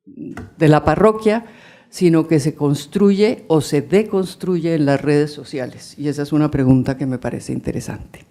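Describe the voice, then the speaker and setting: A moderator opening a civil society panel discussion in a lecture hall, speaking thoughtfully with pauses and fillers. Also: medium pitch, dark tone.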